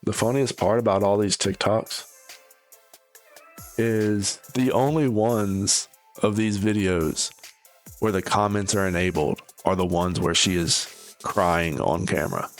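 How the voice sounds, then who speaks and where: A man's voice: sits low, steady tone, a little slow. A man giving casual, opinionated reaction commentary as a video host, in a sardonic, dismissive manner. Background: background music.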